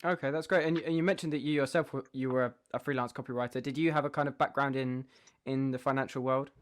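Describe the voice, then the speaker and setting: A man's voice: medium pitch, fairly even intonation, quick pace. A man hosting a podcast, introducing and questioning in an interview style. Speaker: podcast host.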